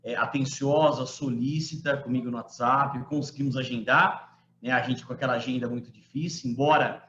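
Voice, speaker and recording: A man's voice: medium pitch, fairly even intonation, moderate pace, fairly bright tone, a little hiss or hum. A man in his forties, a law professor from Brazil, giving a conversational online lecture to students and often checking in with short tag questions. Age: 40s. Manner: conversational, frequent tag questions, emphatic quoting. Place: home room. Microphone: computer mic on a video call. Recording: roomy, muffled video-call audio.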